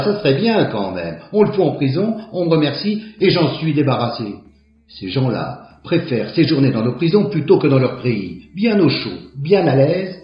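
A man playing a smug, ranting bigoted lawyer. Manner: lively, sneering, prejudiced ranting. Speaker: defence lawyer. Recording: echoey, dull low-fi.

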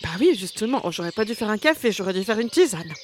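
A woman's voice: mid-range voice, very animated, quick pace, heavy background noise, natural voice. A woman doing a cheery country cousin. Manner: soothing, cheery.

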